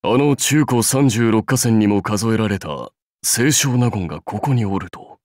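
A man's voice: lowish voice, a little slow, a little mellow, no room sound, studio quality, natural voice. A man voicing a proud, seasoned samurai warlord in a mobile game, speaking in a dignified, archaic lordly manner. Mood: measured, bemused. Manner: stately, archaic lordly speech. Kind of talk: Japanese mobile game character voice lines.

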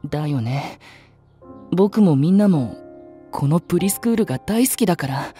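An actor playing a gentle, eccentric young male nursery teacher, boyish and earnest, in an anime drama. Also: on the high side, animated, normal speed, soft background music, close to an everyday voice.

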